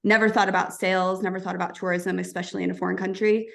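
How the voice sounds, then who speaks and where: A woman talks through her story on a podcast. Her voice has a frequent creaky fry and sits at an ordinary middle pitch. She rambles in a friendly way, full of fillers and small laughs. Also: little pitch movement, racing, a bit bright. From United States. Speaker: guest, travel agency co-founder. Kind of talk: casual podcast chat.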